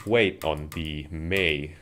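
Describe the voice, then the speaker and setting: A man with a low voice and a Finnish accent talks casually through a gaming livestream. His phrasing is measured and a little formal as he addresses viewers. Keyboard clicks and slight noise sit behind his voice. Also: a bit bright. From Finland. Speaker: live streamer playing a video game. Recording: noisy home stream with keyboard clicks. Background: keyboard and mouse clicking.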